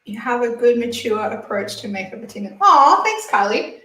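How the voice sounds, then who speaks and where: A woman's voice: fairly high pitch, very animated, moderate pace, sharp tone. A woman, chatting casually on a beauty livestream and reading viewer comments aloud with giggles. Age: 20s. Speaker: beauty channel host. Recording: roomy, slightly distant mic.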